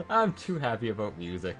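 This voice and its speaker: A Canadian man chatting casually and joking while streaming a video game, swearing now and then. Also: fairly low pitch. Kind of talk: casual chat while gaming.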